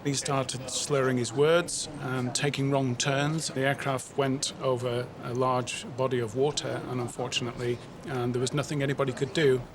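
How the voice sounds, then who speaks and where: A man, a former pilot, casually explaining training simulations with relaxed fillers. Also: mid-range voice, moderate pace, hardly any pauses, crowd noise.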